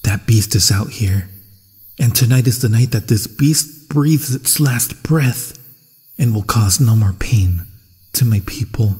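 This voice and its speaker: Man playing a husky, slow-spoken, grieving monster hunter. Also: lowish voice, a little mellow, soft background music, exaggerated voice. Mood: vengeful resolve. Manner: solemn, dramatic, halting delivery with long pauses. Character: grieving, vengeful male monster hunter protecting a stranger. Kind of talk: ASMR fantasy horror roleplay acting. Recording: long cavernous reverb, insect sounds.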